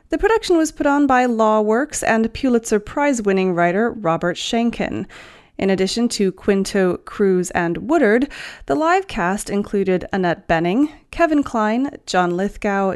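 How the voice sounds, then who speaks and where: A woman's voice: medium pitch, darkish tone. A woman hosting a podcast, reading scripted entertainment news. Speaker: podcast host and newsreader.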